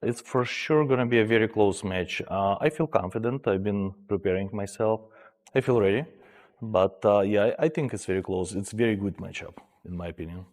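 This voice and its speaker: Man in his forties, measured. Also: fairly low pitch, darkish tone, long reverb tail. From Ukraine. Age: 40s. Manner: measured, with frequent "uh" pauses. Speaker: armwrestler. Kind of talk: pre-match interview.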